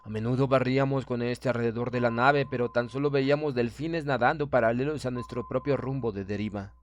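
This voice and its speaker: A man's voice: medium pitch, fairly even intonation, brisk, a little hiss or hum. A man reads a horror story aloud as an audiobook narrator, in dramatic scripted narration. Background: background music.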